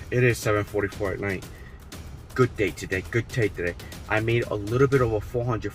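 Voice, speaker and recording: A man's voice: mid-range voice, a bit bright. A man driving for a rideshare service, talking casually to the camera in his car, tired and losing his voice, repeating his catchphrases. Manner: upbeat, repeats catchphrases, loose rambling talk. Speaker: rideshare driver. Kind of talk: casual vlog narration while driving.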